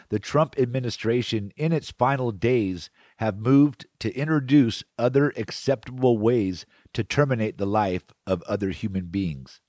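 A man's voice: on the low side, a little mellow, no room sound, clean studio sound. A man with an American accent hosting a radio magazine show, reading from a script. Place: radio broadcast. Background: music bed.

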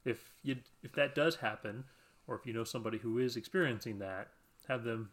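A man's voice: mid-range voice, fairly quick, fairly bright tone. A man co-hosting a podcast, chatting casually in a rambling, self-deprecating way and laughing often. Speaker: podcast co-host. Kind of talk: casual chat.

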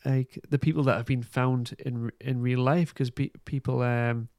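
A man hosting a podcast, giving a casual recap in an informal, halting way. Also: medium pitch, steady tone, a little mellow, no room sound, clean studio sound. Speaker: podcast host. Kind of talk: casual plot recap and review.

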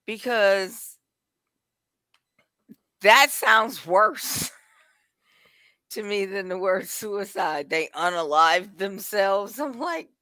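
A woman's voice: mid-range voice, extremely slow, long pauses, sharp tone, dry recording. A woman chatting casually on a talk show, sharing her opinions. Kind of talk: casual chat, anecdotes and opinions.

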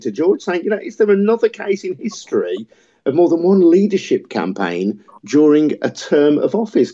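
A radio host man whose voice rises and falls a great deal, warm and low in tone. He explains patiently and brings in his guests. The sound is a little dull and lo-fi. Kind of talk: radio phone-in hosting.

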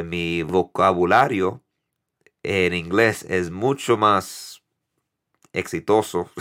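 A man in his thirties from New York hosting a casual solo podcast in Spanish, with an accent mixing Dominican and American English, halting and correcting himself often. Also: deep voice, a bit bright. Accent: mix of Dominican Spanish and American English. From New York, United States. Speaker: podcast host.

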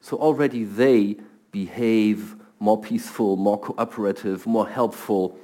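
A man's voice: lowish voice, a little slow, mellow. A middle-aged man from Germany with a German accent, a scientist answering interview questions thoughtfully and often repeating words as he starts. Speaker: guest scientist.

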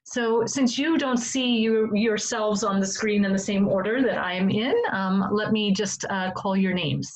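A woman hosting an online group discussion over a video call, friendly and halting with frequent ums as she welcomes participants. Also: mid-range voice, fairly even intonation, poor audio.

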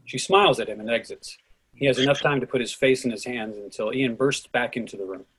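A man's voice: mid-range voice, fairly quick, fairly bright tone, husky, low-quality recording. A man narrating scripted stage directions for a play reading.

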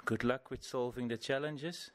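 A man from the Netherlands working as a diplomat, giving a measured, formal wish. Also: medium pitch, fairly even intonation.

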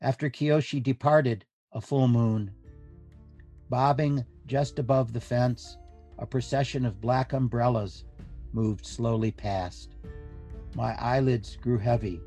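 A man giving a literary reading of diary entries in measured, deliberate phrases. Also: medium pitch, fairly even intonation, warm tone, breathy edge, faint music behind.

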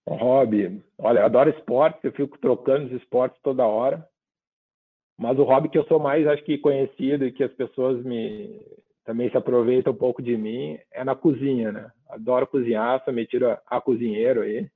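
A man's voice: mid-range voice, fairly even intonation, moderate pace, slightly rough. A man from southern Brazil, giving reflective interview answers and often ending with tag questions. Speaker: guest. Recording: phone-like narrow line.

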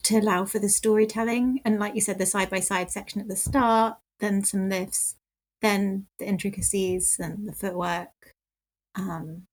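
Woman chatting at middling pitch. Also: fairly even intonation, normal speed, rough recording. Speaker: podcast panellist. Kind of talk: casual chat.

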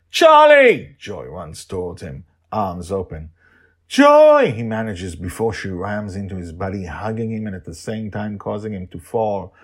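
A man's voice: sits low, a little mellow, husky. A man hosting and narrating an audio story podcast in a theatrical, emphatic, dramatic style.

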